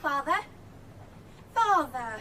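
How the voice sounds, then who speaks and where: An actress playing a loving, teasing young working-class daughter in a radio drama. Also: female voice, high voice, expressive, slow, pushed and bright, a little hiss or hum, heavily acted. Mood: concerned. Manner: teasing, coaxing. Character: loving, playful twenty-year-old working-class daughter.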